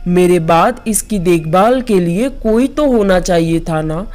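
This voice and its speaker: Slow, pleading village mother played by a woman. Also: on the low side, lively intonation, darkish tone, faint music behind. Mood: apologetic, pleading. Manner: emotional, earnest pleading. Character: anxious, pleading village mother of grown children. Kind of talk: dramatized Hindi moral story.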